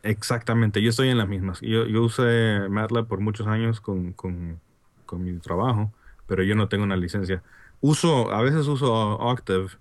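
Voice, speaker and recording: A man with a Honduran accent chatting as a podcast guest over an online call, talking haltingly with many fillers and restarts. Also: fairly low pitch, no echo. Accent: Honduran Spanish. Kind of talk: casual chat.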